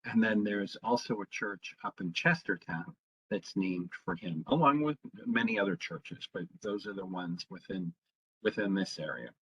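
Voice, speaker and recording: A man, a town historian, giving an informal public history talk, pausing often with ums and uhs. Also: fairly low pitch, big pitch swings, fairly bright tone, breathy edge. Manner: halting, fills pauses with frequent ums. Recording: dull lo-fi sound.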